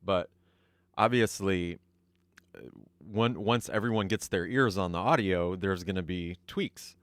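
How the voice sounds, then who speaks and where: A man with a fairly low voice talks on a panel. He explains his production work in a measured, halting way. The recording is dry. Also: smooth, no room sound. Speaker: audio designer on a panel. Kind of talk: conversational panel talk.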